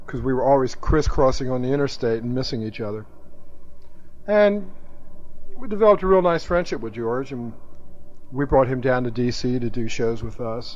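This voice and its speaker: A man speaking casually as a musician guest in a radio interview. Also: medium pitch. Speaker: interview guest, band drummer. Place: radio station studio. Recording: dull, noisy 1982 AM radio aircheck.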